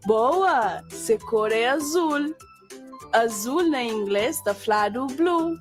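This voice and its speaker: A woman's voice: fairly high pitch, expressive. A woman playing a cheerful, patient schoolteacher in a children's cartoon lesson, speaking with a Cape Verdean accent in an encouraging, questioning way. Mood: encouraging, instructive. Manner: slow, instructive, questioning and encouraging. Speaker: teacher. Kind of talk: scripted children's language lesson. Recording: children's music under the voice. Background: music for children.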